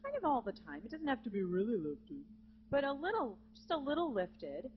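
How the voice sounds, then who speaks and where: A woman with a naturally low speaking voice teaching a live vocal jazz masterclass to students, lively and encouraging, in a conference hall. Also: moderate pace. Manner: lively, encouraging, demonstrates with sung examples. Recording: dull lo-fi live recording with hum.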